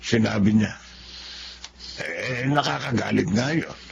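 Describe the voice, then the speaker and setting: A man's voice: fairly low pitch, expressive, slow pace, gravelly, lo-fi sound, a crowd in the background, full character voice. A man with a Filipino accent playing a sensible confidant giving counsel in a radio drama. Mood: concerned, reasoning.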